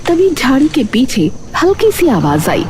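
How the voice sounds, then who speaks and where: A woman's voice: high-pitched, huge pitch swings, measured pace. A woman reading scripted story narration for a cartoon, over background music. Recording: loud music under the voice.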